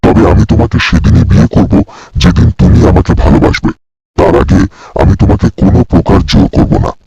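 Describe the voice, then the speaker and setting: A man voicing a fearsome but soft-hearted monster in a fairy-tale cartoon, with a gravelly, husky voice. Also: sits low, steady tone, brisk, dry recording, lo-fi sound, full character voice. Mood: gently reassuring.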